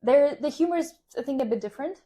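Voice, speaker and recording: A woman's voice: high-pitched, animated, quick pace, mellow. A young woman whose first language is Russian, a podcast guest explaining things thoughtfully and at length, laughing now and then. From Russian-speaking household, living in Vienna. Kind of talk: conversational podcast interview.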